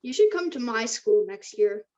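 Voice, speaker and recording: A woman's voice: a little high, lively intonation, normal speed, warm tone, muffled recording, close to an everyday voice. A woman playing a confident, enterprising teenage boy in a screenplay table read. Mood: curious, friendly.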